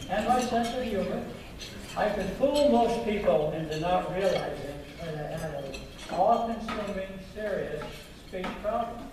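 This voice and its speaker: An older man earnestly recounting his life story in a slow, reflective manner, recorded from a distance. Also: high-pitched, background noise. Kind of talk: personal storytelling talk. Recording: echoey and distant with loud background clatter.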